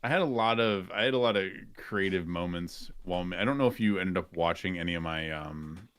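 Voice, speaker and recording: A man's voice: sits low, brisk, a bit bright, clear, resonant tone. A man talking informally as a podcast guest, in casual chat where he often repeats his phrases. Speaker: podcast guest and game streamer.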